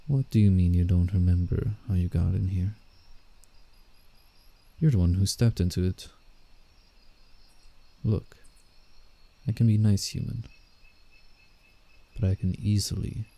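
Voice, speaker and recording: A man's voice: steady tone, exaggerated voice. A man playing a commanding, teasing alpha werewolf in a roleplay ASMR, with menace in his delivery. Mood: probing, firm. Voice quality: dark, gravelly low voice. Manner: slow, deliberate, teasing menace. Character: male alpha werewolf, predatory, teasing, commanding forest protector.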